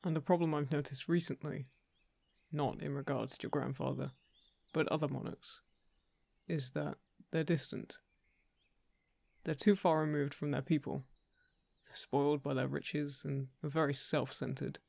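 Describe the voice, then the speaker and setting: A man acts out a courteous male envoy of the crown in a fantasy audio roleplay. His voice sits a little high for a man and often creaks into fry, recorded close and dry. He chooses his words with hesitation and earnestly, gently persuades. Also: steady tone, normal speed, dry recording. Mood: reassuring, earnest. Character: polite, earnest male royal envoy seeking a lost heir.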